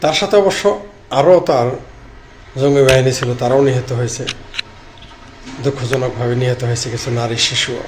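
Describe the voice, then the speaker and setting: A middle-aged man with a Bangladeshi accent talks politics informally to the camera. His mid-pitched voice moves in short, halting phrases. Some noisy ambience sits behind him. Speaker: political commentator. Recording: clearly noisy background ambience.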